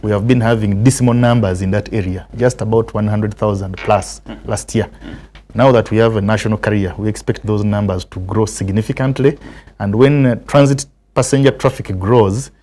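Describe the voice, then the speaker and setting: Mellow, low-voiced Ugandan man explaining. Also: fairly even intonation, moderate pace. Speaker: aviation authority spokesman, interview guest. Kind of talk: formal explanatory interview answers.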